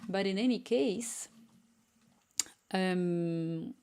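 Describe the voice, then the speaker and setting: A woman's voice: fairly low pitch, very slow, dark tone, smooth. A woman with a Ukrainian accent carrying some Spanish influence, streaming live as an app developer, chatty and easily distracted, reading chat aloud and murmuring to herself while she codes. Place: home room.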